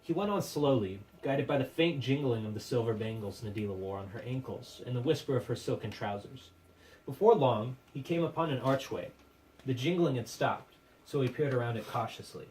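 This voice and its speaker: A man narrating a puppet show as dramatic storytelling, in a measured, theatrical, literary manner. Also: mid-range voice, darkish tone. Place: live puppet show performance, room. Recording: distant microphone with faint room noise.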